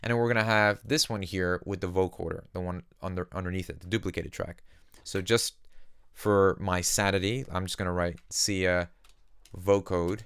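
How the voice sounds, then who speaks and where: A man with a low, clean, resonant voice hosts a casual production tutorial. He explains things plainly, step by step. The sound is dry and close on the mic, with no room around it. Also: steady tone, normal speed, fairly bright tone, clean tone, no echo. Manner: explanatory, uses simple analogies. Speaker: music production tutorial host. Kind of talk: casual software tutorial narration.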